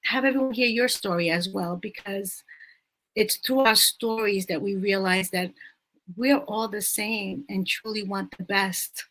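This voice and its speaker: A woman hosting an interview show, introducing her guest at a measured pace with frequent pauses. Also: mid-range voice, a bit bright, rough recording. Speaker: host.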